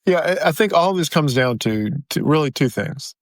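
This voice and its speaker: A man's voice: a little high, animated, moderate pace, dry recording, clean studio sound. A man co-hosting a podcast in casual conversation, explaining things through examples and anecdotes. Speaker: podcast co-host.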